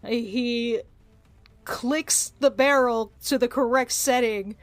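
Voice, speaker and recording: A woman's voice: on the high side, takes it slowly, no room sound, faint music behind. A woman playing a tabletop roleplaying game, casually describing what her character does.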